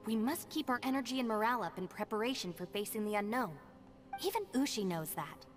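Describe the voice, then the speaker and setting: A woman's voice: fairly high pitch, animated, quick pace, soft background music, natural voice. A woman voicing a level-headed, practical young deputy in a video game, dry and matter-of-fact.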